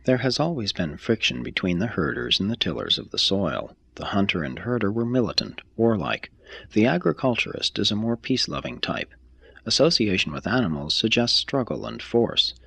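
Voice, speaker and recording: A man reading a scripted text aloud as an audiobook narrator, with a measured, formal delivery. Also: lowish voice, big pitch swings, creaky, pushed and bright, breathy edge. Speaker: audiobook reader.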